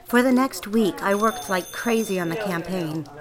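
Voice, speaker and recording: A woman's voice: medium pitch, moderate pace, a crowd in the background, lightly acted. An actress playing a yearning young woman copywriter who narrates her diary in an audio drama. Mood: wistful, confessional. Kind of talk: scripted first-person audio drama narration.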